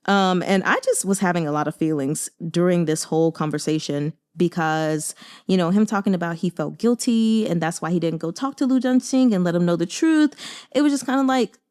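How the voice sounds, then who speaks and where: An American woman giving casual, chatty book commentary in a conversational, expressive way with emphatic exclamations. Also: on the low side, fairly quick, smooth. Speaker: book reviewer and host.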